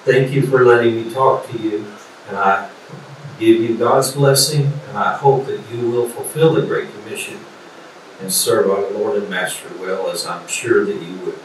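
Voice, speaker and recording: An older man, a preacher, gives an earnest sermon with deliberate repetition over a video call. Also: lowish voice. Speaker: professor and preacher. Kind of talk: sermon, graduation address. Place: indoor study or office, video call. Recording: echoey video call, distant, some noise.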